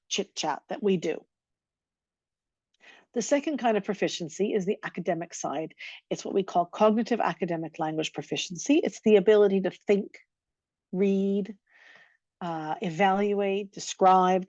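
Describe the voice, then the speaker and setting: A woman with a fairly low voice delivers a training lecture, patiently explaining ideas and rattling through lists of examples. The sound is close and dry, with a slightly dull, muffled quality. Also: mellow, dry recording. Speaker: teacher trainer. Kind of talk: recorded lecture.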